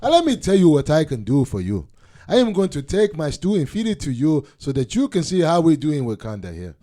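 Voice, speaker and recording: A boisterous man with a put-on Nigerian accent, as a man plays him, loud and raised in pitch. He proclaims every line. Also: huge pitch swings, fairly quick.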